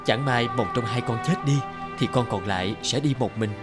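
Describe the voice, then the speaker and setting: A man playing a gentle, hesitant married businessman with a guilty streak in an animated drama. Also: medium pitch, a little fast, lightly acted. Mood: tender. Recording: music under the voice.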